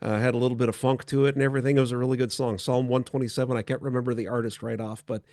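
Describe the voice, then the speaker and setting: A man with a mid-range voice hosts a casual, unscripted chat. He talks in a halting way with frequent pauses. The sound is close and dry, with hardly any room in it. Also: medium pitch, level tone, darkish tone, no echo. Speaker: host of a church chat show.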